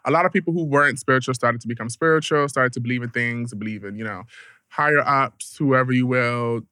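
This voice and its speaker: A man's voice: mid-range voice, a little fast, smooth, no room sound, studio quality. A young man co-hosting a podcast, teasing his friend and steering the talk. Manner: teasing, lively, drives the topic. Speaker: podcast co-host. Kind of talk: casual banter.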